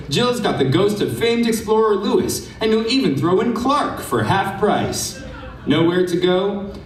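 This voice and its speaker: A man working as a show announcer, reading a mock sponsor ad in an announcer's delivery at a live venue. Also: high-pitched. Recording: echoey distant venue sound, faint noise.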